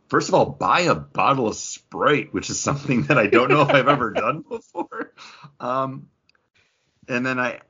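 A man's voice: on the low side, fairly bright tone, slightly rough. A man co-hosting a podcast in a jokey, rambling, casual chat with friends. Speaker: podcast co-host. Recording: dull lo-fi voice recording.

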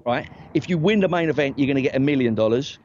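A man, a talent agent and guest on a podcast, telling stories in a loose, animated way with lots of "right?" tag questions. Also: mid-range voice, quick pace, slight background noise. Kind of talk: casual storytelling chat. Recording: dry, dull lo-fi sound.

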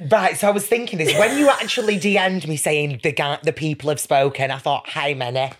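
A man's voice: fairly high pitch, a little fast. A young man, chatting casually as a guest in a camp, gushing, exaggerating manner. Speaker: podcast guest, panto performer. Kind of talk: casual chat.

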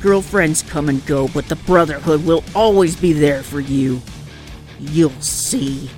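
A woman's voice: sits low, measured pace, over music. A woman playing a zealous, bigoted young man in an audio drama, insistent and earnest. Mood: urgent, zealous.

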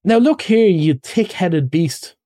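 A man voicing an excitable, kindly but bossy rat in a story, speaking in an eager, emphatic way. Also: on the high side, normal speed, no room sound, clean studio sound. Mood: angry, urgent.

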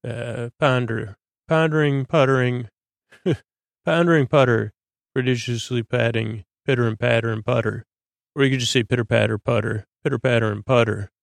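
A man hosting a bedtime podcast in a creaky, lulling voice, droning along in a halting, stammering, meandering monologue meant to help listeners sleep. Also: medium pitch, steady tone, dry recording. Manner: halting, stammering, repeats and plays with words. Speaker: podcast host.

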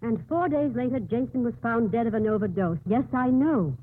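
Faint noise and a narrow old radio band surround an actress as a devoted nun. She is gentle yet resolute, with a composed, earnest delivery from a radio play. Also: on the high side, normal speed, natural voice. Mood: earnest, insistent. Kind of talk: 1950s radio acting. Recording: tinny, faintly noisy old radio broadcast.

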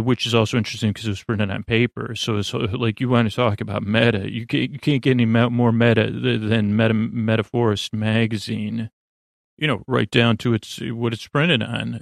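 A man hosting a podcast with a rambling bedtime monologue, halting and meandering, often trailing off mid-word. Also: medium pitch, creaky, no room sound, studio quality. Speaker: podcast host.

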